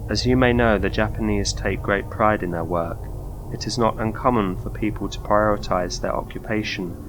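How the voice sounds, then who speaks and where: A man with a very low voice narrates a scripted ghost story. His delivery is measured and formal, like a documentary storyteller. There is clearly audible noise behind his voice.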